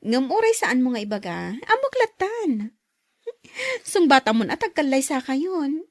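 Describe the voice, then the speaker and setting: Woman playing outspoken young female friend, audio drama, teasing, high pitch, animated swings, dry sound. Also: measured pace, no room sound. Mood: playful prying.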